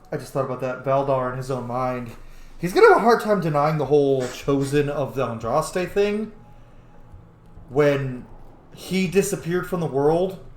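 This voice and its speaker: A man's voice: fairly high pitch, a little mellow. A man doing casual, profane commentary as he plays a fantasy roleplay game, reacting aloud to what happens. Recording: roomy, faint background noise.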